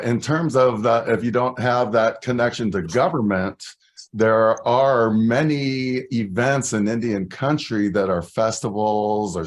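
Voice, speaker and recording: Measured low-voiced man answering questions. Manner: thoughtful, measured, with fillers. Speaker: panelist.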